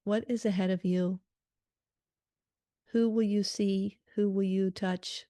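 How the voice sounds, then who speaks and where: A woman leading a guided meditation prayer in a calm, soothing manner, leaving gentle pauses between short phrases. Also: mid-range voice, no room sound.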